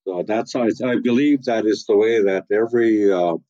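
Older male elder, interview guest. Measured, plain-spoken, warm dark tone. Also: lowish voice, lo-fi sound. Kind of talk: slow reflective storytelling.

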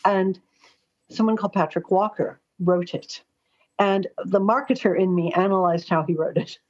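Husky, forthright American woman. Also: medium pitch, normal speed, darkish tone. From Los Angeles, United States. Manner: forthright, chatty, anecdotal. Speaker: astrologer and columnist, interview guest. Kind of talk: conversational interview storytelling. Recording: slightly dull, lo-fi audio.

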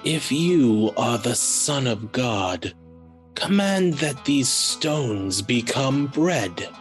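A man voicing the devil as a sly tempter in a dramatic scripture reading, with slow, drawn-out, deliberate delivery. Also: mid-range voice, big pitch swings, soft background music. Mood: tempting.

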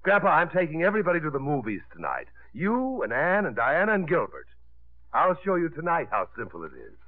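Breezy romantic leading man, teasing and assured, very lively, tinny fifties radio. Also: a little high, a little fast. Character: suave, confident suitor, an artist, teasing and persistent. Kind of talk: 1950s radio drama acting. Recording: narrow, tinny 1950s radio broadcast.

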